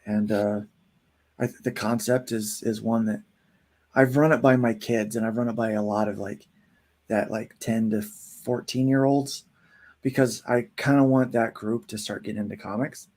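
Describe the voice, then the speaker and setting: A man's voice: on the low side, steady tone, normal speed, darkish tone. A man in his forties, a comic book writer chatting casually as an interview guest, rambling with lots of fillers. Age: 40s.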